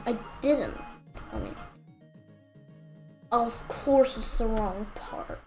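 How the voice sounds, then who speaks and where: A woman's voice: a little high, lively intonation, drawn-out words, mellow, soft background music. A woman streaming a platform game, chatting casually with giggly, playful, defensive banter. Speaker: gaming streamer. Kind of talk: casual gaming commentary.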